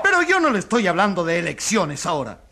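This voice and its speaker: An actor playing an indignant crusading journalist in a radio courtroom drama, accusing a corrupt leader in impassioned, declamatory speech. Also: male voice, sits high, wildly swooping pitch, quick pace, vocal fry, bright, forceful tone, full character voice. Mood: earnest, accusing. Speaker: accuser in a trial. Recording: crowd clamour behind the voice.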